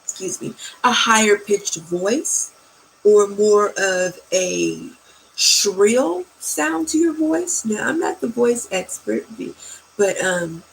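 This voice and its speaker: Slow, soft-spoken woman with a low, gravelly voice. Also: animated, a little hiss or hum, low-quality recording. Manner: slow and sultry, checks in with "okay?" and "right?". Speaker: host giving dating advice.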